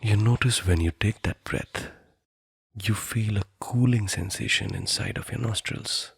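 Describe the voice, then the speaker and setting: A man guiding a meditation in a soothing, deliberate manner, leaving long pauses between phrases. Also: on the low side, creaky, slightly rough, no echo, studio quality. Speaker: meditation guide. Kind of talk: guided meditation narration.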